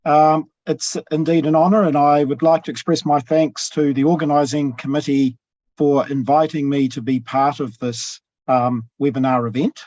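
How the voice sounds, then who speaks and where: Husky nz guy giving a lecture on a video call, kinda high voice, muffled lo-fi sound. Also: little pitch movement, darkish tone, dry recording. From New Zealand. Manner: measured, with frequent ums and pauses. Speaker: tax professor presenting. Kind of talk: academic webinar presentation. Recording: dull lo-fi video call audio.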